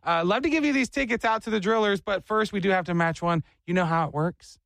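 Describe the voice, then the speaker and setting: A man's voice: high-pitched, quick pace, gravelly, a bit bright, dry recording, studio quality. A man hosting a morning radio show, joking and self-mocking in casual banter.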